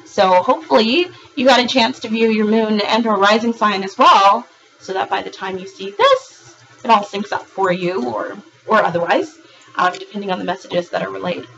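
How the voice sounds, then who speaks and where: A woman with a hoarse, raspy voice from a recent virus, giving a monthly tarot reading in a rambling way, with frequent ums and restarts. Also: medium pitch, moderate pace, fairly bright tone, dull audio. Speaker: tarot reader.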